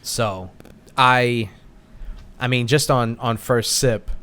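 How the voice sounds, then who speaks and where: Guy co-hosting a beer podcast, rambly, keeps restarting, mid pitch. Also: steady tone, slow pace, a bit bright, clean tone. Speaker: podcast co-host. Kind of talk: casual chat over beers.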